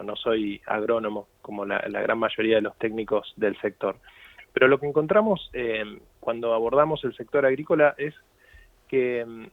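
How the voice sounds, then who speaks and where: A man's voice: medium pitch, no echo. Argentine man on a tinny phone line. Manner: measured, explanatory. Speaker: guest, company CEO. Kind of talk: radio interview answers.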